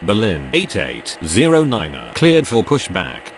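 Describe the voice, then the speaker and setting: A man acts as a formal airline radio voice in a game. His voice is deep and swings widely in pitch over clipped set phrases. Faint noise runs underneath. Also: huge pitch swings, a little slow. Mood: businesslike. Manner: formal, procedural. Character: male airline radio voice, procedural and businesslike. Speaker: pilot and air traffic controller voices.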